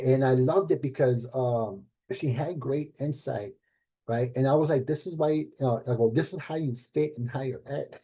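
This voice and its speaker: A middle-aged man speaking casually at an event as a program director, with lots of fillers and tag questions, and he gets animated when he quotes people. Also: mid-range voice. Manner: conversational, many fillers and rhetorical "right?"; animated when quoting others. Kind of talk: casual talk at an event panel.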